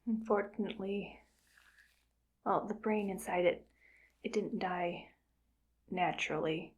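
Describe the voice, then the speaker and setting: A woman voicing a callous female mad scientist in an ASMR roleplay, whispering softly in a slow, coldly matter-of-fact way. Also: medium pitch, fairly even intonation, lightly acted. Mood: cold musing. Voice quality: soft whisper. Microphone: close sensitive mic.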